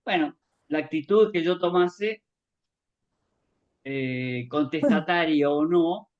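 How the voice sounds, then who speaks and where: A man's voice: on the high side, slow pace, muffled recording. A middle-aged man with an Argentine accent, telling personal memories in a rambling, conversational way as a guest speaker. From born in Japan, grew up in Argentina. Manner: rambling, conversational, acts out remembered dialogue. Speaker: guest author giving a testimony. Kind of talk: personal reminiscence in an online talk.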